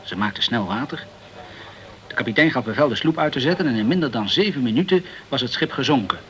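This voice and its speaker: Actor playing a courteous, talkative journalist. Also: male voice, mid-range voice, lively intonation, a little fast, fairly bright tone, natural voice, low-quality recording. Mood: grave, informative. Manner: courteous and chatty, peppered with French words. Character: polite, talkative French journalist in the tropical West Indies. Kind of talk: Dutch radio play acting.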